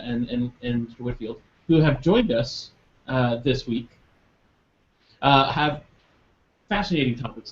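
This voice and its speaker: A man hosting a live online show, chatting casually with many ums and uhs. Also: medium pitch. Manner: rambling with many fillers. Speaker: podcast host. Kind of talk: casual live webcast chat. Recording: dull lo-fi webcast audio with faint noise.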